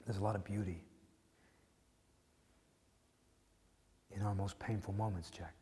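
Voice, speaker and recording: A man's voice: low voice, fairly even intonation, warm tone, long reverb tail, natural voice. A man playing a blunt grieving widower. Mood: hushed pleading. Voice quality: profane, halting with repeated restarts. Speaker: widower speaking to a grieving listener. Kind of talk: emotional dramatic monologue.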